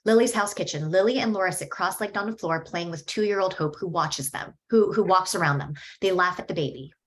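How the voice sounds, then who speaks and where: A woman reading screenplay stage directions at a table read in a steady, descriptive way. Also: on the low side, brisk.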